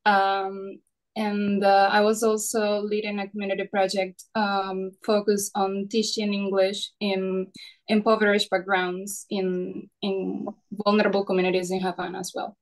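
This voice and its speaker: A woman's voice: mid-range voice, completely flat delivery, a little slow, low-quality recording. A courteous woman from Cuba with a Cuban accent, introducing herself as a student panelist. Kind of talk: self-introduction at an online panel.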